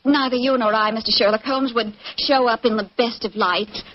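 A woman's voice: on the high side, steady tone, moderate pace, no echo, close to an everyday voice. A woman playing a refined, earnest society lady in a 1940s radio mystery. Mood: cool, haughty. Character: genteel, distressed English society lady. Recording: muffled 1940s radio broadcast.

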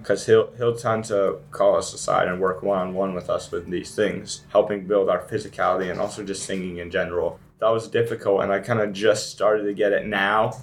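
A young man with a fairly low voice speaks earnestly as a student actor. He talks through the challenges of the show and steers the conversation with questions for the others. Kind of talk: casual panel chat.

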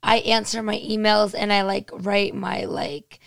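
A young woman guest in a casual chat, her voice raspy, talking loosely with lots of "like". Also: mid-range voice, level tone, unhurried, dry recording. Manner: chatty, full of "like".

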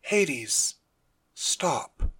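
Captive elder god for audio drama: male actor, unhurried, measured, calculating, dry intimate sound. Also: fairly high pitch, expressive, dry recording, heavily acted. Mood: quietly probing. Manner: quiet, measured, deliberate. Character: calm, weary, calculating dead father god in captivity.

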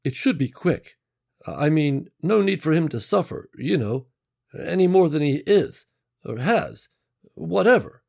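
Nervous rambling young nerd, played by a male reader, raised voice, gravelly edge. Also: a little high, expressive, a little slow, a little mellow, pitched above the performer's own voice, exaggerated voice. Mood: tense. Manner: hesitant, rambling. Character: nervous bullied young man, zombie-lore nerd.

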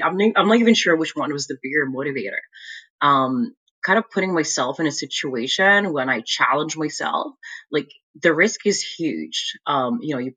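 A woman with a Lithuanian accent talks through her career in a relaxed interview. Her voice is bright and clear. She pauses often and fills gaps with "um" and "like" while she thinks. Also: sits low, poor audio. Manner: thoughtful, many fillers like um and like. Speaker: guest, MBA graduate and consultant. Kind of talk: conversational interview answers.

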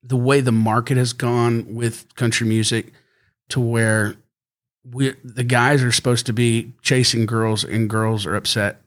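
A man's voice: little pitch movement, measured pace. A man who is a country singer, chatting casually as a podcast guest and rambling easily with frequent laughs. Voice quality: high singing voice. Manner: easygoing, rambling, laughs often.

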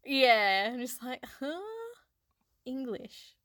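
An outgoing, loud woman whose was Hungarian, co-hosting a casual podcast chat and laughing often. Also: sits high, takes it slowly, dry recording, studio quality. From Australia. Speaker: podcast co-host.

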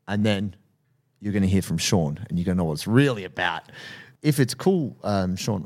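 A man hosting a podcast, warmly effusive in introducing and reacting to his guest. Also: fairly low pitch. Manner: effusive and enthusiastic. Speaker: podcast host. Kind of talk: casual podcast interview.

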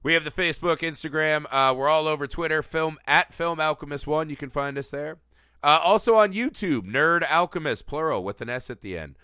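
A man hosting a casual film podcast, animated and teasing, a little sniffly from a cold. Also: on the high side, brisk, clear, resonant tone, no room sound, clean studio sound. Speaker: podcast host. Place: Los Angeles area.

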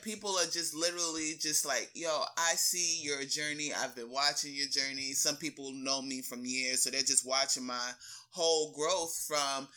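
A woman talking casually as a fitness coach being interviewed, slangy and emphatic, telling stories and acting out quoted remarks. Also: low-pitched. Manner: expressive storytelling, acts out quoted remarks. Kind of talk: animated casual interview talk.

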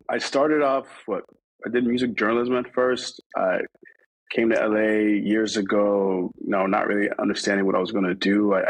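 A man's voice: fairly low pitch, gravelly, no room sound, poor audio. A man from New York talking casually in an interview, rambling with many fillers and restarts. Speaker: interview guest.

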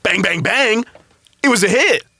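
A young man co-hosting a casual sports podcast, teasing his friend and getting animated about results. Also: measured pace, gravelly, no room sound. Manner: teasing, animated, jumps up in pitch when excited. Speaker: podcast co-host.

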